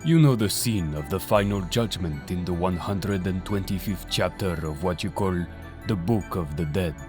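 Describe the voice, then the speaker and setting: A man's voice: sits low, music in the background, lightly acted. A man playing a solemn, mysterious servant of a death god in an audio drama, speaking formally and ominously. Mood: cold judgment. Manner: slow, ominous, formal delivery.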